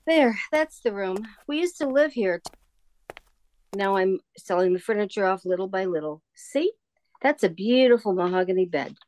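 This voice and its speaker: A woman's voice: medium pitch, animated, normal speed, a little mellow, natural voice. A woman playing a genial, wistful older shopkeeper in an audio drama, chatty and nostalgic.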